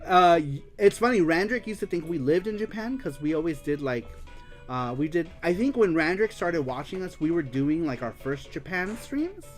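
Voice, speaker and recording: A man with a fairly high voice hosts a live stream, reading out viewer comments in a loose, chatty way. He laughs a lot, curses freely and slips easily into Spanish. Soft music plays faintly behind him. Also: big pitch swings, normal speed. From United States. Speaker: host of a live-streamed retro gaming show.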